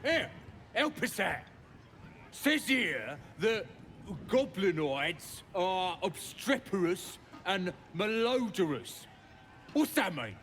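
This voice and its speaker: An actor playing a dim, boastful goblin in a video game, chatting in a rough, rambling British voice. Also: male voice, high voice, sing-song, slow, a bit bright, a little hiss or hum, full character voice. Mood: chatty, puzzled. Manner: colloquial, rambling. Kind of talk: video game character acting.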